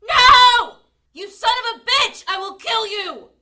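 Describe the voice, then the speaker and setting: High, piercing embattled policewoman. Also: expressive, slow, pushed and bright, heavily acted. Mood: screaming rage. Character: embattled young policewoman, defiant but frightened.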